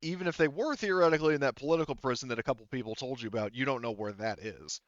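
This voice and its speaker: A man working as game master, narrating a tabletop game in a casual, halting, conversational way. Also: mid-range voice, dry recording. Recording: slightly dull close dry mic.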